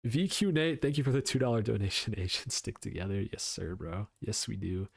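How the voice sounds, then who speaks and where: A young man talks casually on a live stream, rambling through his points, answering chat and dropping the odd swear. His voice sits on the low side. The sound is dry and close, with no room around it. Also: a little fast, gravelly, breathy edge, dry recording.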